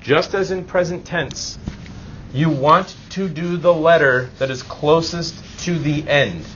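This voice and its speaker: A man's voice: a little high, takes it slowly, a bit bright. A man teaching a grammar lesson in an informal, reassuring classroom manner, often checking in with short rhetorical questions. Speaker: Spanish teacher. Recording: dull lo-fi audio, clear background noise.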